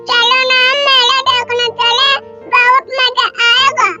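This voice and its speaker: A woman voicing an eager, shrill little brother bird in a cartoon. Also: flat delivery, takes it slowly, bright, forceful tone, muffled recording, soft background music, heavily acted. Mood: eager, begging. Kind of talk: cartoon character dialogue.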